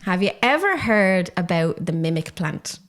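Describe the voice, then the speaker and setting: A woman with a higher, girly voice, co-hosting a podcast in cheeky, rambling, swearing chat. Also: big pitch swings, moderate pace. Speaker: podcast co-host.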